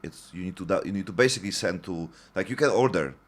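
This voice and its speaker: Male podcast guest, very deep voice, halting and simple phrasing, frequent "yeah" and "mm-hmm". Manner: halting, simple phrasing with short agreeing backchannels. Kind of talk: casual podcast chat.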